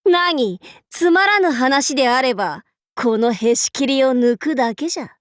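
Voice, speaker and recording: A woman's voice: sits high, lively intonation, a little slow, dry recording, studio quality. A woman playing a proud, boisterous warlord in an anime game, with pompous, playful, archaic lordly speech. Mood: imperious. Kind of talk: anime game character voice lines.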